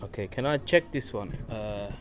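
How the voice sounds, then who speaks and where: A man's voice: lowish voice, animated, moderate pace. A man from London with a London accent, chatting casually and informally to his viewers as he films a travel vlog. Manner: friendly, informal, addressing viewers as guys. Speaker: travel vlogger. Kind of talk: casual walking vlog chat. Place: walking through an outdoor bazaar and its shops. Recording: busy street and bazaar crowd noise.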